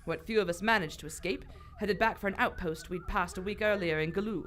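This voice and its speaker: A woman's voice: medium pitch, a little fast, fairly bright tone, natural voice. A woman playing a poised, wry aristocratic British explorer in live radio theater, dry and commanding. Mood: sombre, reflective. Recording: live stage recording with faint noise.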